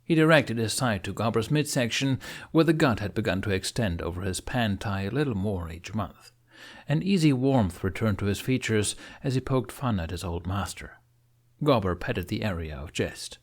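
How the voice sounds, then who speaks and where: Low-voiced male audiobook narrator. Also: lively intonation, moderate pace. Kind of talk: dramatic scripted storytelling.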